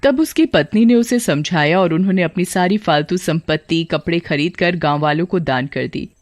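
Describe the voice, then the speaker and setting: A storyteller narrating a scripted moral horror tale for an animated story. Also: mid-range voice, moderate pace, dry recording, studio quality.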